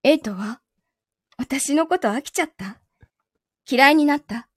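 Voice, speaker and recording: A woman playing a possessive, coolly menacing wronged girlfriend in an audio drama, questioning calmly with soft taunts. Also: high voice, measured pace, no echo, clean studio sound. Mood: possessive, probing. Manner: calm interrogating, softly taunting, sometimes whispering. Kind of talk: Japanese audio drama acting.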